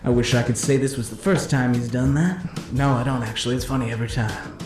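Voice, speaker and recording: An actor playing a slick, cheeky 1950s disc jockey who calls a bowling game with showy hepcat patter and drawn-out flourishes. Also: male voice, mid-range voice, steady tone, close to an everyday voice. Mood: gleeful, teasing. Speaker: play-by-play announcer. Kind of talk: 1950s radio-style sports commentary. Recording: roomy echo, music underneath.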